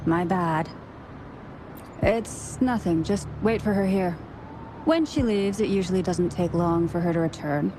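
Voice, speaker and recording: A woman playing a gruff but friendly female camp outlaw in a video game, brusque, casual and plain-spoken. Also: lowish voice, a little slow, background noise, lightly acted. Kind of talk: video game story voice acting. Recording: music under the voice.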